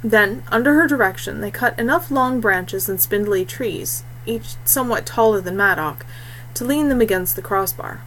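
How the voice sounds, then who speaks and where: A woman's voice: mid-range voice, moderate pace, fairly bright tone, slight background noise, poor audio. A woman reading a fantasy story aloud as scripted storybook narration.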